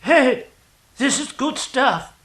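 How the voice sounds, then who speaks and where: A man's voice: very high-pitched, animated, takes it slowly, fairly bright tone, roomy sound, exaggerated voice. An actor playing a bumbling, befuddled king in a comedy radio play. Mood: proud.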